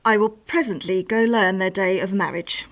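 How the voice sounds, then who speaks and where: A woman acting a cold, spiteful, scheming noblewoman in a Shakespearean radio play, terse and measured. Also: mid-range voice, natural voice. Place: home recording.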